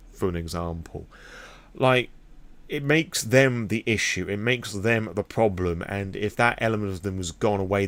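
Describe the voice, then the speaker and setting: A British man with a somewhat low voice speaks off the cuff, as a vlogger addressing his audience. His delivery is blunt and emphatic, with an opinionated, sweary, rambling flow. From Britain. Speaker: vlogger on roleplaying games. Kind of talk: impromptu opinionated personal ramble to viewers.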